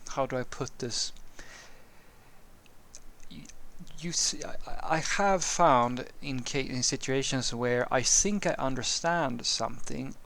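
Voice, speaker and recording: Gravelly-voiced Swedish man musing aloud. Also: medium pitch, takes it slowly, fairly bright tone. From Sweden. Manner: rambling, many restarts and fillers. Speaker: software developer answering a viewer question. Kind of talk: casual unscripted monologue.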